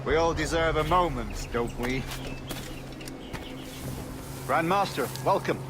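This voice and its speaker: An actor playing a polished, cold English colonial governor, formal, measured and smug, in a video game cutscene. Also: male voice, on the high side, background noise. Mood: smug, composed. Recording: loud music behind the voice.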